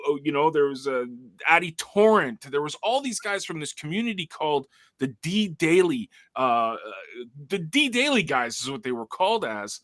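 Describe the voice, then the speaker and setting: A man hosting a talk show, telling a story in an energetic way and often restarting his words. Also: on the high side, no room sound, studio quality. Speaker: show host. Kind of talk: casual storytelling chat.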